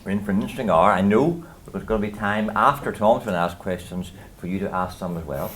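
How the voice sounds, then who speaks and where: A man's voice: lowish voice, fast talker, fairly bright tone. A man introducing a lunchtime event and welcoming the guests and speakers. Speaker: event host from the peace project. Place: outdoor garden event.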